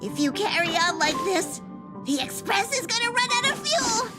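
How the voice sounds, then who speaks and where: A woman's voice: very high-pitched, very animated, normal speed. A woman voicing a small, childlike cartoon conductor creature in a game, petulant, proud and crying. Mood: scolding. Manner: speaks of self in third person, petulant. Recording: loud music behind the voice.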